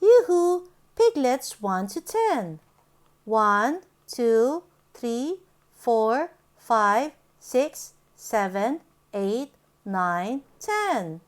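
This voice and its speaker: Female children's storybook reader, high voice, lively swooping intonation, sing-song and deliberate. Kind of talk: read-aloud picture book storytelling for young children.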